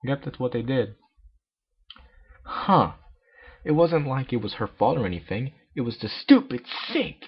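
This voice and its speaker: A man's voice: medium pitch, lively intonation, a little slow, pauses often, husky. A man reading a story aloud as an amateur, with sarcastic, joking commentary. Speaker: amateur fanfiction reader. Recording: dull lo-fi home recording.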